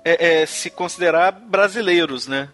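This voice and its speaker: A man's voice: a little high, normal speed, fairly bright tone, soft background music. A Brazilian man hosting a podcast, chatting casually about history with frequent restarts and tag questions. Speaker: podcast host. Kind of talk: casual conversational chat on music history.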